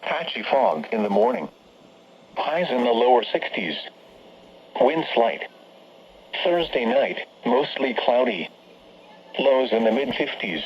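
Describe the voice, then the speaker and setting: A lo-fi weather radio broadcast with some background noise carries an automated American man's voice. It is fairly high for a man and reads forecasts in a stilted, synthetic way, item after item. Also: slow pace, pauses often. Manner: robotic, stilted synthetic phrasing. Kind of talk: scripted weather forecast readout. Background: steady radio background noise.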